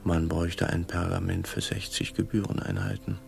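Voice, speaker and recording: An actor playing a weary, officious and bribable patent office director in a satirical radio play, with a pompous bureaucratic manner. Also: male voice, sits low, little pitch movement, normal speed, creaky, a little mellow, slight background noise. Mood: businesslike, greedy.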